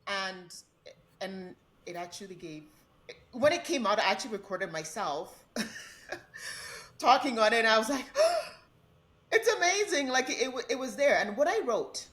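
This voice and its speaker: A woman's voice: fairly high pitch, animated, unhurried, pauses often, a bit bright. A woman host from Nigeria whose first language is Igbo, asking questions eagerly and sometimes cutting in with enthusiasm. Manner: eager and enthusiastic, breaks in on the guest. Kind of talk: interview questions.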